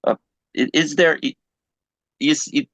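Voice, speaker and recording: A man asking questions casually on a video call in a halting way, often restarting his words. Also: on the high side, steady tone, fairly bright tone, no room sound. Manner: hesitant, halting, repeats word starts. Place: online video meeting. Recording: dull lo-fi video call audio.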